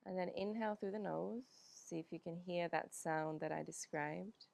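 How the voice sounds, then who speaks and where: Calm gravelly-voiced yoga teacher, a woman. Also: fairly low pitch. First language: Finnish. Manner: calm, unhurried, many "um"s. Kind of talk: guided yoga class instruction.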